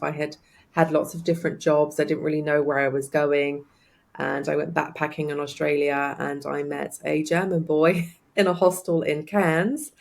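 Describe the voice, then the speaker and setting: A woman's voice: low-pitched, vocal fry. A woman in her thirties with an English accent, a guest on an interview podcast telling her story in an upbeat, chatty way and laughing often. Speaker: guest, life coach. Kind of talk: casual interview chat.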